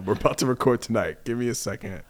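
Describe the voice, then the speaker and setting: A man's voice: mid-range voice, quick pace. An American man from the East Coast, co-hosting a casual chat and dropping in teasing, dry asides. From Norwalk, Connecticut, US East Coast. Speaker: co-host.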